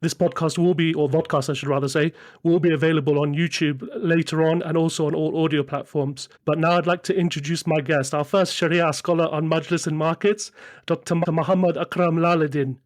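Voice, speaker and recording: Male podcast host, slightly high voice, informal, self-correcting, dry sound. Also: steady tone, brisk, no room sound. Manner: informal and chatty, correcting himself as he goes. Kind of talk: casual podcast interview.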